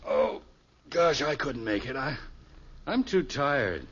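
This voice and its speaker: Hapless middle-aged American radio husband, hesitant, lively inflection, muffled hissy 1950s recording. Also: male voice, medium pitch, slow, husky, full character voice. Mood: weary, puzzled. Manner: hesitant, sheepish, stammering. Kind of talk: 1950s radio sitcom acting.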